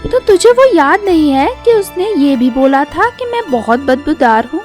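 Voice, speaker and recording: A woman's voice: high voice, big pitch swings, normal speed, a little mellow, faint music behind. A woman voicing an angry young female friend, blunt and accusing, in a storybook tale.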